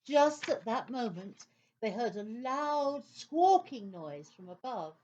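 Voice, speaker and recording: A woman narrating a scripted children's story in an expressive, dramatic way. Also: a little high, slow, lo-fi sound.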